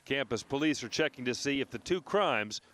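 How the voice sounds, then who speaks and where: A man's voice: mid-range voice, animated, normal speed, a bit bright, slight background noise. A man reporting live for television news in a scripted broadcast style.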